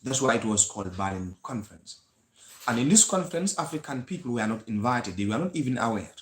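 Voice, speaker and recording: A man's voice: medium pitch, expressive, brisk, slight background noise. Lecturing Gambian man, echoey room. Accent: Gambian English. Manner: earnest, lecturing with many uh fillers and restarts. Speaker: host of a history channel. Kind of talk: live-stream history talk.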